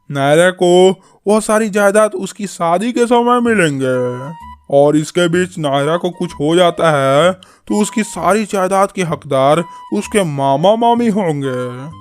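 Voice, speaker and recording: A man voicing an earnest, protective middle-aged village uncle in a cartoon story. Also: high voice. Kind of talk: Hindi cartoon story dialogue.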